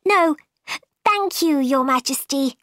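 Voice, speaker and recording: A woman's voice: high voice, animated, slow, fairly bright tone, no room sound, studio quality, pitched above the performer's own voice, full character voice. A woman reading a storybook aloud, voicing a polite, puzzled young girl. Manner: wondering, polite, slightly plaintive.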